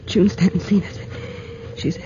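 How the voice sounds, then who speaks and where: A woman's voice: measured pace, mellow, natural voice. A woman playing a nervous but plucky newspaper reporter in a 1940s radio drama, hesitant and dramatically alarmed. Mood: frightened. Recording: dull noisy 1940s radio recording.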